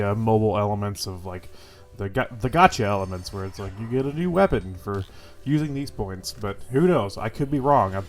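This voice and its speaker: A man with a stuffy, congested voice giving casual, rambling impressions of a game as host of his gaming channel, full of fillers and the odd swear. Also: medium pitch. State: congested from a cold.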